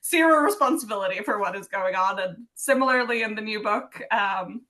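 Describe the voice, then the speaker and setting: A woman's voice: medium pitch, a little fast, bright, forceful tone, poor audio. A young adult woman from Sweden, an author chatting casually in a podcast interview, giggling often and using many fillers. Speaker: author guest.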